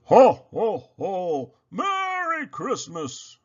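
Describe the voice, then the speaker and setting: Man putting on a booming, hearty old Santa Claus. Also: wildly swooping pitch, drawn-out words, highs cut off, exaggerated voice. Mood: jolly, booming. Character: jolly old Santa Claus, winded but determined.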